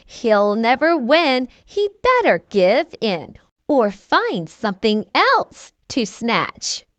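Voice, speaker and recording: A woman reading a children's storybook aloud in an expressive, deliberate storytelling manner. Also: on the high side, dry recording. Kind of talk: scripted read-aloud storybook narration.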